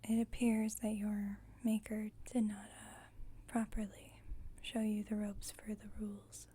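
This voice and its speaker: A woman playing a composed, formal vampire coven leader in an ASMR roleplay, whispering softly in a measured, instructive, gently wry way. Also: mid-range voice, monotone. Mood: reassuring, measured. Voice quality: breathy, whispered, soft.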